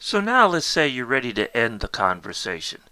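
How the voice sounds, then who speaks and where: A man's voice: medium pitch, sing-song, normal speed, heavy background noise. A man narrating a step-by-step technical tutorial, explaining things directly to the learner as an instructor. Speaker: instructor demonstrating a Morse code keyer.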